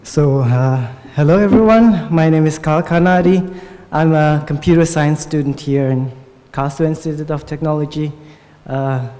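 A man in his twenties with an Indonesian accent, speaking informally and haltingly as a student panelist into a table microphone. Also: a little high, warm tone. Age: 20s. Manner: halting, searching for words. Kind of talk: informal spoken remarks at a panel discussion. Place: large lecture hall, at a panel table. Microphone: gooseneck table microphone. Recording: reverberant hall sound.

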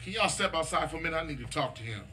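A man's voice: fairly high pitch, lively intonation, very fast, pushed and bright, a little hiss or hum. An actor playing a stern, hot-tempered street gangster in a video game, preachy and scolding in slangy speech, fiercely loyal to his neighborhood. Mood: scornful. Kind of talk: dramatic game cutscene dialogue with street slang.

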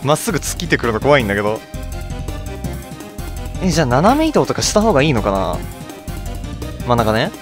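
Lively male game streamer over music. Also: medium pitch, brisk, pauses often. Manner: talks to himself, reacting in short remarks. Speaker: let's play streamer. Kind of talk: casual game commentary, reading dialogue aloud.

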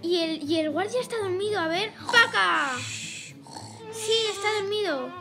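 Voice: extremely high pitch, expressive, a little slow, exaggerated voice. A child of about ten playing an anxious prisoner escaping a dungeon in a homemade cartoon, repeating words hurriedly. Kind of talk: improvised children's cartoon dialogue. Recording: loud music over the voice.

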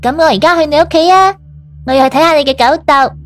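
An actress playing a possessive, sharp-tongued young girlfriend in an audio drama, demanding and indignant. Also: high voice, fast, soft background music, close to an everyday voice. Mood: insistent. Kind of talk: Cantonese audio drama acting.

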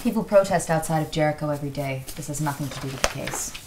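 A woman's voice: low-pitched, fast talker, heavy background noise, lightly acted. A woman acting a polite, curious android representative in a scripted drama read, courteous and composed. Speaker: actress reading an android representative at a table read.

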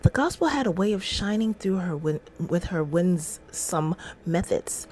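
A woman's voice: on the low side, lively intonation, unhurried, a little mellow, slight background noise. A middle-aged woman hosting a casual devotional livestream, reading aloud and adding informal, chatty asides. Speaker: livestream host. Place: small indoor room at home.

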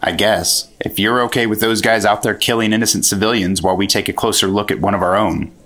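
Radio-play sidekick: bitter American junior agent, impatient and sullen, husky lower voice. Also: male voice, fairly even intonation, quick pace, a bit bright. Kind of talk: 1950s radio drama acting.

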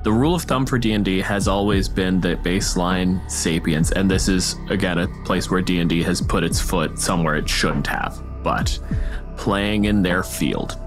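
A man with a low-pitched voice talks casually as a podcast panelist. He explains game ideas at length in vivid, descriptive runs, with clear music playing behind him. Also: normal speed. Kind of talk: casual panel discussion about tabletop games.

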